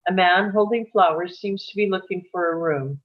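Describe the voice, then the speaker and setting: A woman's voice: fairly low pitch, normal speed. A woman reading stage directions as scripted narration for a live table read, on dull audio.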